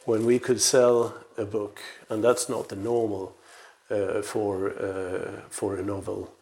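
A man in his sixties with a low, creaky voice talks as the guest in a conversational interview. He comes from Sweden and speaks haltingly, repeating words as he works through anecdotes and reflections. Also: flat delivery, a little mellow. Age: 60s. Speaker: interview guest, veteran publisher and editor.

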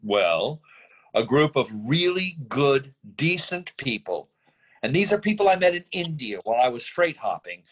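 A man acting a middle-aged, free-spirited father in a staged screenplay reading, earnest, effusive and persuasive, with halting phrases. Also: mid-range voice, big pitch swings, rough recording. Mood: tentative, earnest.

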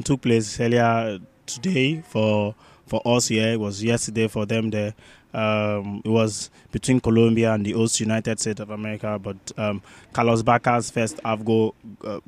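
A man co-hosting a sports roundup, talking conversationally and haltingly, often repeating his words. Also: fairly low pitch, flat delivery. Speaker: sports show co-host.

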